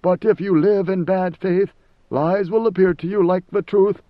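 A man voicing a commanding ancient god emperor who speaks in a grave, measured, lecturing way. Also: high voice, fairly even intonation, no room sound, muffled recording, voice pushed up, close to an everyday voice. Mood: stern.

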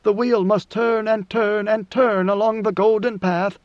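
A man voicing a grave, ancient god-emperor in a storybook reading, with slow, solemn, oracular pronouncements. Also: high voice, flat delivery, no room sound, dull audio, pitched above the performer's own voice. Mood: solemn, prophetic.

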